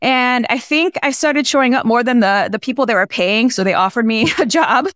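A young woman talks through her story in a relaxed interview, often stopping and restarting as she thinks. Her voice sits slightly high and comes across smooth and resonant, close and dry, with no room around it. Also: no room sound. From United States, small-town Illinois. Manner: reflective, halting with many restarts and fillers. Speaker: filmmaker guest. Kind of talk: casual interview conversation.

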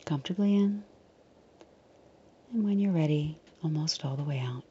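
A woman leading a guided meditation in a soft, breathy, often near-whispered voice, soothing, unhurried and gently reassuring. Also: deep voice, highs cut off.

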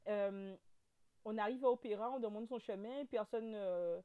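A woman's voice: medium pitch, normal speed, clean tone, phone-quality sound. Chatty Guadeloupean woman in her thirties. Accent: Guadeloupean French. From French overseas territory. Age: 30s. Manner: animated storytelling with many fillers and restarts. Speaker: guest. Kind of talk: casual interview chat.

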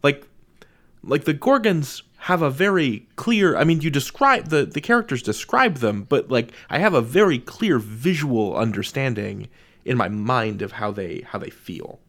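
Very animated man hosting an interview. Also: mid-range voice, measured pace. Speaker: podcast host, interviewer. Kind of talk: casual, playful interview chat.

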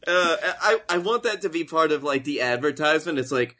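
A man's voice: a little high, lively intonation, a little fast, bright, forceful tone. A man co-hosting a comedy podcast, improvising and joking in casual brainstorming chat. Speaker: podcast co-host.

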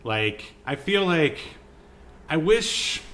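A man hosting a podcast, casually discussing a film in a halting, agreeable, conversational way. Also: fairly high pitch, sing-song, a bit bright. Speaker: podcast host reviewing films.

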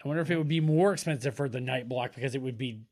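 A man's voice: medium pitch, rapid-fire, a little mellow. A man co-hosting a podcast, joking and swearing through casual, exclamatory film commentary. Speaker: podcast co-host.

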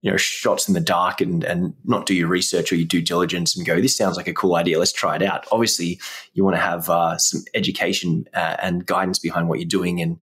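A man's voice: deep voice, little pitch movement, brisk, fairly bright tone, breathy edge. A man hosting a podcast and giving motivational advice in casual interview talk. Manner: motivational, coaching tone. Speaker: podcast host and guitar teacher.

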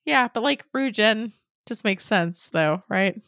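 A young woman co-hosting a podcast with her sister, her pitch swinging widely as she jokes, laughs and swears. Her voice is still slightly rough from a recent cold. The sound is close and dry. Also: expressive, measured pace, a bit bright, dry recording. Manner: laughing, loose, swearing freely. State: recovering from a cold, voice a bit off. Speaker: podcast co-host. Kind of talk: casual chat.

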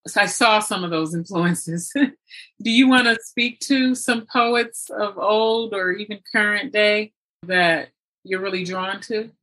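A woman's voice: mid-range voice, takes it slowly, sharp tone, poor audio. A woman interviewing in a casual, conversational way, encouraging and affirming the person she talks with.

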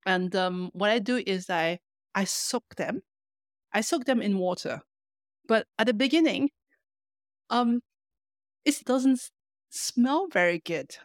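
A woman's voice: medium pitch, animated, slow, long pauses, no room sound, studio quality. A woman co-hosting a podcast in casual conversation, explaining things hesitantly and often repeating words as she goes. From lives in Paris. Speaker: podcast co-host.

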